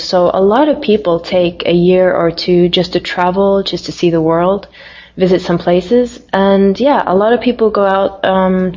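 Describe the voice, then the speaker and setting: A woman's voice: mid-range voice, darkish tone. Easygoing woman, lo-fi sound. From Finland. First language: Finnish. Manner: friendly, easygoing, with frequent fillers. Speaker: interviewee. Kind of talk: casual interview answers.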